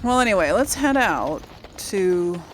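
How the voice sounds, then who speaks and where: A woman's voice: medium pitch, big pitch swings, unhurried, a little hiss or hum. A woman doing casual let's play commentary, thinking aloud and talking to the game's characters. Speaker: gaming video host.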